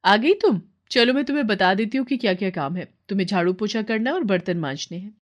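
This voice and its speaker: A woman voicing a proud, bossy but kindly employer lady, commanding and brisk, in a moral story. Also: mid-range voice, animated, natural voice. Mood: brisk, casual.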